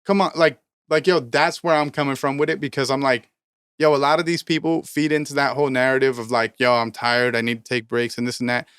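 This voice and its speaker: Man around thirty, podcast co-host, smooth resonant voice, dry close mic, emphatic and profane. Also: medium pitch, level tone, fairly quick, a bit bright, no room sound. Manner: emphatic, profane, preachy motivational rants. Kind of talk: casual podcast chat.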